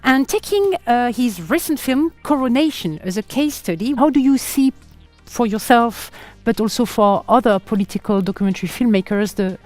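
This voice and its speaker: A woman with a French accent works a conference stage as host, playful and lively, laughing easily. Her voice sits fairly high. Soft music plays faintly underneath. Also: moderate pace. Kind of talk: live stage hosting.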